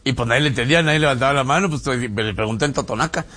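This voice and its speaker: A man's voice: mid-range voice, very fast, pushed and bright, faint music behind. A man with a Mexican accent hosting a radio talk show, joking and laughing through slangy banter. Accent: Mexican Spanish. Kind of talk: casual comic banter.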